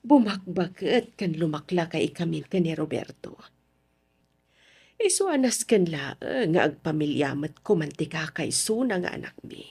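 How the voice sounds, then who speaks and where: A woman playing a fond, chatty middle-aged mother in a Filipino radio drama, expressive and drawn out. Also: sits low, measured pace, pauses often, breathy edge, exaggerated voice. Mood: wistful, fond.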